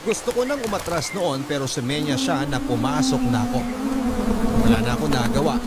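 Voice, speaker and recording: A man's voice: a little high, animated, a little fast, very noisy, lightly acted. A man voicing a young prostitute who recounts a troubled past as a confession in dramatized radio storytelling. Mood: uneasy, regretful. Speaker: narrator reading a letter sender's confession. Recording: loud music under the voice. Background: loud music.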